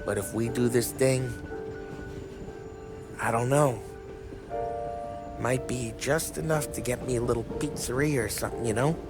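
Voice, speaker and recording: A man's voice: medium pitch, steady tone, unhurried, pauses often, natural voice. An actor playing a weary, agitated American mobster in his thirties, streetwise and griping, in a video game cinematic. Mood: weary, wistful. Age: 30s. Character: weary, agitated mobster nearing forty, restless and foul-mouthed. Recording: loud music behind the voice.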